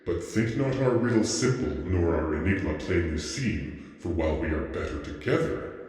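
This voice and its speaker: Booming, echoing deep sphinx acted by a man. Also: expressive, a little mellow. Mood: solemn, grand. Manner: grand, measured, formal. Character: solemn male sphinx intoning an ancient riddle. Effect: heavy reverb. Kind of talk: theatrical recitation in ASMR roleplay. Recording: booming long cavernous reverb.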